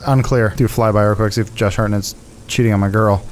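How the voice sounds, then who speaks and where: A man's voice: fairly low pitch, steady tone, fast, darkish tone, a little hiss or hum. A man in his thirties chatting casually and joking as he plays a video game, on a close microphone. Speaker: gaming show host, player. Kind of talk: casual joking chat while playing. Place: indoor studio room. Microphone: close studio microphone on boom arm.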